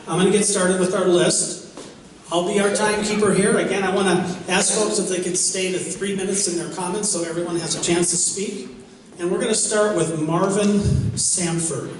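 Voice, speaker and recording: A man moderating a public comment session, explaining the procedure and key points in an orderly way. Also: high voice. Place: public meeting hall indoors. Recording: noisy echoing hall, from a distance.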